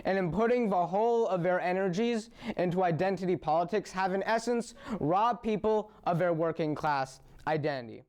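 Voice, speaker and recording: A young man with a smooth, clean voice reads a prepared opinion piece in a close voice-over. His voice sits a little high for a man. He paces each sentence with earnest, deliberate care, like a student commentator making a case. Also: darkish tone. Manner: earnest, measured, deliberate delivery. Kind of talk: reading an opinion essay.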